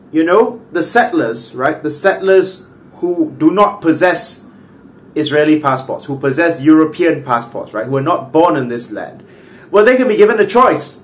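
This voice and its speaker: A man's voice: fairly high pitch, a little hiss or hum. A man from Malaysia, giving an earnest political opinion monologue and tagging points with "right?". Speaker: political commentator.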